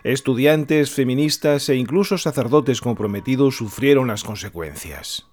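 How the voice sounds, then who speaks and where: An earnest exile radio newsreader, voiced by a man, with a Spanish accent. He reads the news in a steady broadcast manner for a radio play. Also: medium pitch, normal speed, lightly acted. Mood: grave. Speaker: clandestine radio announcer. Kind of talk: radio drama acting, newsreader delivery.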